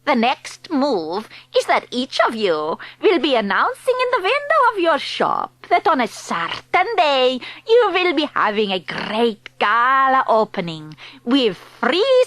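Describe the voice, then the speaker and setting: A woman's voice: sits high, wildly swooping pitch, slow pace, hardly any pauses, fairly bright tone, no echo, exaggerated voice. A woman playing an imperious child-hating witch leader in an audiobook, with a put-on foreign accent, mangled English and a commanding, theatrical delivery that draws words out. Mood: scheming relish. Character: Grand High Witch, imperious female villain loathing children. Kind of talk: audiobook character performance.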